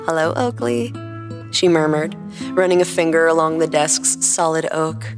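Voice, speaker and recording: A woman narrates fan fiction in a slightly low voice. She reads from a script as a podcast host. Her voice carries a long reverb, with guitar music behind it. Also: flat delivery, normal speed.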